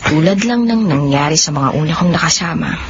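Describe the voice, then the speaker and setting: A woman playing a gentle, composed young blind woman in a Filipino radio drama, speaking politely. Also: sits low, lively intonation, fairly quick. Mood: quietly sad. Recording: muffled audio with faint background noise.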